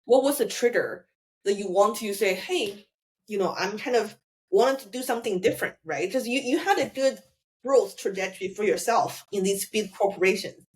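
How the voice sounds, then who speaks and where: A woman's voice: medium pitch, normal speed, poor audio. A woman hosting a podcast, asking friendly, encouraging questions with some fillers. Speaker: podcast host. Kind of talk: interview questions.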